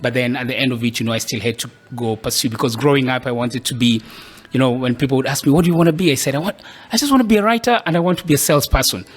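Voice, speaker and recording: A man's voice: medium pitch, rapid-fire. Kenyan man telling his story thoughtfully. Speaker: podcast guest. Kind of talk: casual interview storytelling.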